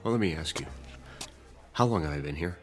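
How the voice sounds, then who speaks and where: A man's voice: low voice, expressive, fairly quick, faint music behind. An actor playing a skeptical, stubborn, wisecracking man in a radio drama, with a casual, blunt way of talking. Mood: agitated suspicion.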